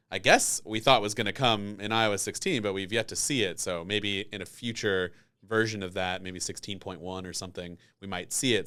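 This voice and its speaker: Smooth-voiced man hosting a casual podcast. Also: fairly low pitch, fairly bright tone. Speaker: podcast host. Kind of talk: casual interview chat.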